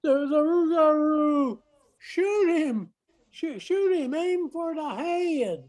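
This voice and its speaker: Older woman as a high, panicking swamp man. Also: expressive, slow, a little mellow. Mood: terrified. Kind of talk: amateur radio play acting.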